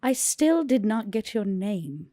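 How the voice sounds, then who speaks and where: A woman's voice: medium pitch, sing-song, slow pace, warm tone, no echo, clean studio sound. A woman playing a haughty, commanding female vampire with a cool, imperious, clipped manner. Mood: commanding, cold.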